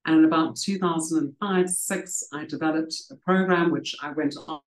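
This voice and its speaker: A woman's voice: sits low, steady tone, normal speed. A middle-aged woman, raised in South Africa, telling her career story at a book festival in a conversational, reflective way with frequent ums and restarts, speaking over a video call from home. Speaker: author and leadership coach. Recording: roomy video call audio.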